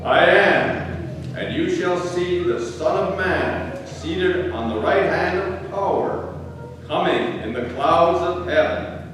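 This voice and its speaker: A man playing a calm, resolute holy man on trial in a solemn, measured scripture reading. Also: high-pitched, background noise. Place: large church during a service. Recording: echoey church hall, distant.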